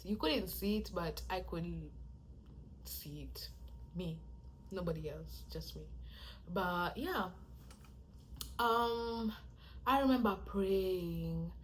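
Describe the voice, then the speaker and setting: Soft-spoken young woman vlogging. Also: slight background noise, low-quality recording. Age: young adult. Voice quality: soft-spoken, low-volume voice. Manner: chatty, animated, acts out quoted reactions. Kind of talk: casual storytelling to camera.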